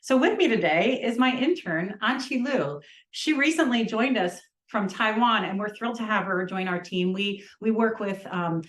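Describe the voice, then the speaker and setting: A woman's voice: mid-range voice, animated, normal speed. Welcoming woman host in an echoey room. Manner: warm, welcoming, affirming. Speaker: clinical director and host. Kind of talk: live-stream host. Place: Tampa, Florida.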